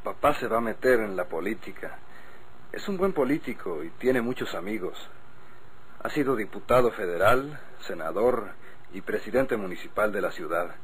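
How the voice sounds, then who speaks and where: An actor playing a confident, chummy politician's son in a Mexican radio drama, slightly husky, with a Mexican accent. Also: male voice, fairly low pitch, lively intonation, moderate pace, slight background noise, close to an everyday voice, poor audio. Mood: confiding, persuasive. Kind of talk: golden-age Mexican radio drama acting.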